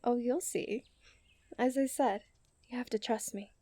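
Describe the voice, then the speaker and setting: Woman acting a high, mellow, confident young princess. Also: steady tone, a little slow, no echo. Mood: reassuring. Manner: soft, intimate delivery that often drops into whispers. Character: young princess, romantic, confident, strong-willed and scheming. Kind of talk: romantic ASMR roleplay acting.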